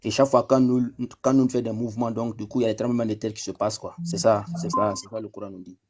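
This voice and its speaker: A man on a live discussion, explaining step by step and reading passages aloud, his voice dropping low as he closes each point. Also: flat delivery, quick pace, over music. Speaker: debate panelist.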